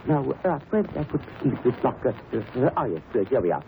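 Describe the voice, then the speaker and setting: An actor playing an anxious, fussy museum professor in a radio mystery, speaking formally with many hesitations. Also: male voice, medium pitch, big pitch swings, fast talker, gravelly. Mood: proud, fussy. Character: fussy, anxious male museum professor, superstitious despite himself. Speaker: museum curator. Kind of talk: 1930s radio mystery acting. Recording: muffled, noisy old radio recording.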